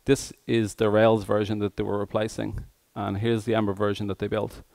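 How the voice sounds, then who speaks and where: Low-pitched, flat-toned man with a gravelly creak. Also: normal speed, no echo. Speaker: conference speaker, software engineer. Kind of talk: tech conference presentation.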